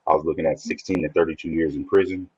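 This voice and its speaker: A man's voice: a bass voice, steady tone, fast, a little mellow, lo-fi sound. A man guesting on a podcast, talking reflectively in a casual interview and often restarting his sentences. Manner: reflective, speaks in restarts and repeats.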